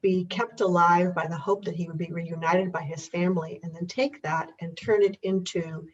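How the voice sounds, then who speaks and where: Older female guest, reflective conversation, husky tone, dull and distant recording. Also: on the low side, fairly even intonation, normal speed. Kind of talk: reflective conversation about family history. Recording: distant, dull muffled microphone.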